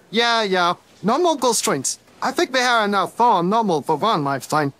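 A cloned voice doing an excitable slacker cartoon guy. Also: mid-range voice, normal speed, slight background noise, lightly acted.

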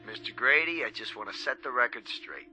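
Polite persistent columnist, sharp forceful tone, medium pitch, radio play. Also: male voice, faint music behind. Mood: polite, insistent. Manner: polite, measured questioning. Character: persistent, polite male newspaper columnist on a call. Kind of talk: radio drama acting.

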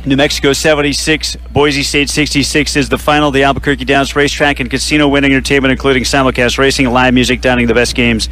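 A man hosting a radio postgame broadcast, running through final stats and sponsor reads in a measured way. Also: medium pitch, little pitch movement, a bit bright, a little hiss or hum. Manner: stat-heavy, measured delivery with sponsor reads. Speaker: radio play-by-play announcer.

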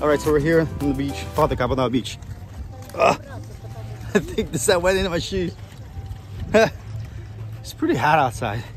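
A man's voice: on the high side, normal speed, long pauses. A man in his thirties vlogging casually on a windy beach, filming himself with an action camera. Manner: relaxed, chatty, talking to his kids between remarks. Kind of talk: casual vlog narration to camera. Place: open sandy beach outdoors, windy. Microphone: action camera held at arm's length or chest-mounted. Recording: loud background music over outdoor wind.

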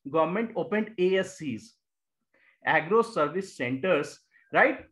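A male teacher with an Indian English accent narrates a slide lecture in a fairly high voice. He explains each point patiently, posing a question and then answering it himself. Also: fairly bright tone. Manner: explanatory, repeats key terms, asks and answers own questions. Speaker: exam coaching teacher. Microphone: voice-over on annotated slides.

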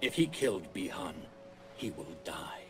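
An actor playing a grim, resolute ninja warrior with a solemn, formal way of speaking. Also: male voice, low voice, very animated, a little slow, music in the background, heavily acted.

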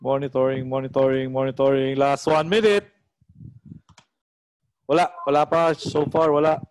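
A middle-aged man with a Filipino accent, hosting casually on a video call in a halting, repetitive way. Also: mid-range voice, flat delivery, clear, resonant tone, dry recording. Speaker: online auction host. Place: small room at home, indoors. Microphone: headset on a video call.

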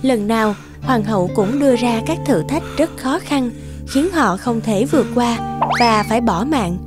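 A woman narrates a children's fairy tale from a script. Her voice is on the high side. Music plays clearly behind her. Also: animated, unhurried.

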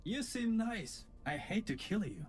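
A man voicing a cool, confident cyborg ninja in a video game, dry and unhurried. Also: high voice, sing-song, slightly rough, faint music behind, full character voice. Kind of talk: video game character voice lines.

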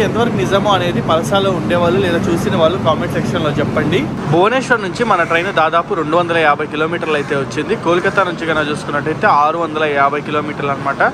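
Young male travel vlogger, high voice, fast casual commentary, phone mic on a noisy train. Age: young adult. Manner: chatty, pointing out sights as the train moves. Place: aboard a moving train and on station platforms. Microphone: phone held at arm's length. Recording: loud train and wind noise.

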